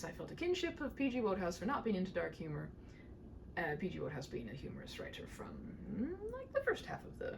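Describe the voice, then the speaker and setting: A woman reading a story aloud in an amateur, informal way, with chatty, self-deprecating delivery. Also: fairly low pitch. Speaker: amateur audiobook reader and channel host. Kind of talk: storybook narration with casual asides. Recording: distant mic with faint noise.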